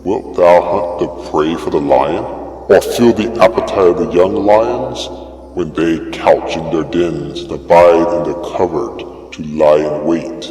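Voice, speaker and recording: Man, extremely low voice, solemn theatrical scripture narration, grand rhetorical delivery, heavy echo, music underneath. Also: a little slow, dark tone. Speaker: narrator of a dramatic scripture reading. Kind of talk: dramatic reading of King James scripture. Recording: echoey long reverb over music.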